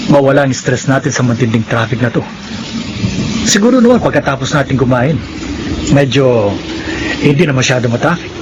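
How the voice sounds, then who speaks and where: A man playing a smooth-talking, attentive suitor in a radio drama, coaxing and flirtatious. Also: mid-range voice, animated, fairly quick, pauses often, lightly acted. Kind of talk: Filipino radio drama acting. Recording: loud car and traffic noise behind.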